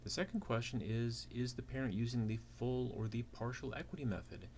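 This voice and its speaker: A man's voice: mid-range voice, fairly quick, darkish tone. A man giving an instructional walkthrough as a teaching assistant, explaining step by step with rhetorical questions. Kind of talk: instructional walkthrough of a worked problem. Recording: clear background noise with keyboard typing.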